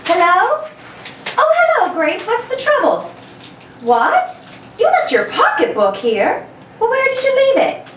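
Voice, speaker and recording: A woman playing a nervous but plucky young single lady in a 1930s radio play, exclamatory and expressive. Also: extremely high pitch, a little slow, pauses often, exaggerated voice. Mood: chatty. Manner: chatty, exclamatory, defiant when pressed. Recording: roomy, distant mic, faint noise.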